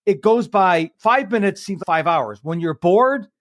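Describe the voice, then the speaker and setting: A man's voice: sits high, expressive, a little slow, no room sound, studio quality. A man, hosting a podcast interview in an enthusiastic, motivational way. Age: 50s. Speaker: podcast host. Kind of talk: casual interview conversation.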